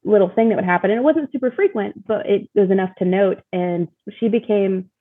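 Young female interview guest, slightly husky, candid casual storyteller, heard over a thin phone-like connection. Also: mid-range voice, brisk, dry recording. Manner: informal, candid, often uses "like". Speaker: interview guest, mother sharing her experience. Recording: thin, phone-like call audio.

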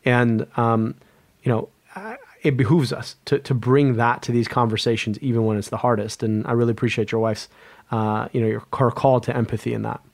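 A man's voice: mid-range voice, little pitch movement, brisk, vocal fry, a little mellow, no echo. A man, an epidemiologist guest, speaking earnestly in an interview, often restarting and repeating words. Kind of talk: conversational interview.

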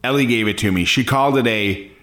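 A man playing a corny dad doing a stand-up routine with deliberate punchlines. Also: medium pitch, lightly acted. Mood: playful. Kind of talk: stand-up comedy sketch. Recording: echoey club, noise and crowd.

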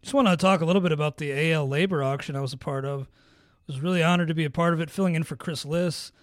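A man hosting a podcast, talking casually about fantasy baseball in a raspy voice and clearing his throat now and then. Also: a little high, fast, no room sound, studio quality. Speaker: podcast host.

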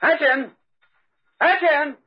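A man's voice: very high-pitched, takes it slowly. A man playing a haughty, suspicious lord of the castle in 1940s radio acting style. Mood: commanding. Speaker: actor in radio drama. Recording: muffled 1940s radio recording.

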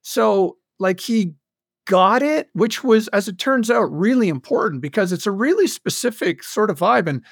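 A man's voice: high voice, moderate pace, vocal fry, no echo, studio quality. A man chatting casually as an interview guest, telling stories about his creative work with enthusiasm and emphasis. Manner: enthusiastic, animated storytelling with frequent emphasis. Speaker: guest, audio fiction creator and commercial voice director.

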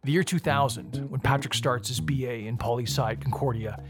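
A man's voice: medium pitch, brisk, music in the background. A man hosting a podcast, narrating a scripted documentary story in a conversational way.

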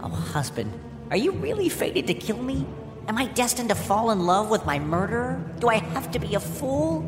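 A woman's voice: a little high, big pitch swings, moderate pace, long reverb tail, music in the background. A woman playing an anguished, pleading young wife in a dramatized myth. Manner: pleading, earnest, emotional. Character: anguished young wife Psyche, mortal heroine in despair.

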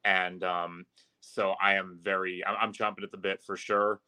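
Bright, forceful low-voiced man. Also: little pitch movement, normal speed. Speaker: podcast guest, arena public address announcer. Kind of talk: casual sports interview chat.

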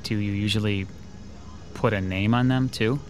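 An actor playing a hesitant, uneasy sketch artist haunted by déjà vu, in classic radio drama style. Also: male voice, on the low side, steady tone, normal speed, music in the background, natural voice.

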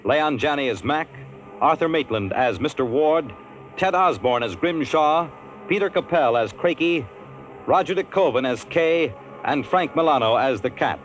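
A man announcing in a formal, measured 1950s radio style, reading scripted narration and credits on an old broadcast recording. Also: fairly high pitch, expressive, rough recording. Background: music.

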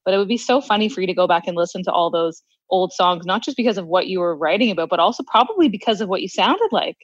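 A young woman radio host with a naturally low voice, chatting casually with quick, self-deprecating remarks. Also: animated, husky, dry recording, highs cut off. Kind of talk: casual chat.

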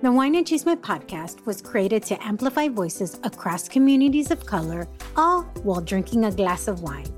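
A woman's voice: medium pitch, sing-song, moderate pace, few pauses, faint music behind. A woman reading a scripted, upbeat promo for her podcast.

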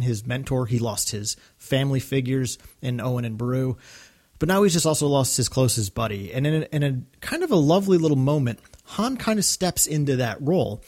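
A man's voice: mid-range voice, a little mellow. A man co-hosting a podcast, chatting casually in an earnest, reflective way and now and then voicing quotes. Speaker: podcast co-host. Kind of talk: casual discussion of a radio drama.

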